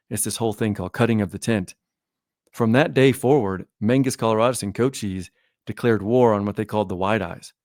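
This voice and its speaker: A man's voice: mid-range voice, darkish tone, no echo, clean studio sound. A man who is sick and losing his voice, talking through history in a rambling, self-correcting way during a casual podcast interview. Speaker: guest historian.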